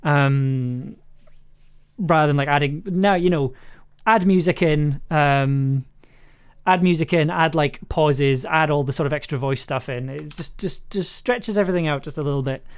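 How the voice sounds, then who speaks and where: A man's voice: a little high, moderate pace, clear, resonant tone. Man thinking aloud on a livestream. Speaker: streamer and audio drama producer. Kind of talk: casual livestream commentary while editing.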